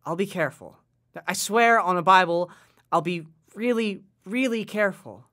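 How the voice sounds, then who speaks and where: A man's voice: sits high, moderate pace, sharp tone. A man playing an earnest, worried working-class boy in a nostalgic period audio drama, with folksy old-time slang and an eager, sincere manner. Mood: earnest, reassuring.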